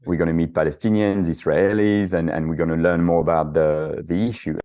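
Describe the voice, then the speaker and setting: A middle-aged Frenchman with a French accent speaks in a very low, deep voice. He answers as an interview guest, hesitant and full of fillers as he restarts his sentences. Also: flat delivery, brisk, mellow. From south of France. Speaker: guest, author and activist.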